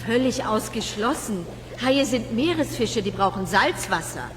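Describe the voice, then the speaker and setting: Female fish expert, firm, explanatory, expressive intonation, long reverb, children's radio play. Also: fairly high pitch, unhurried, slight background noise. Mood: firm, instructive. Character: matter-of-fact, knowledgeable female fish expert.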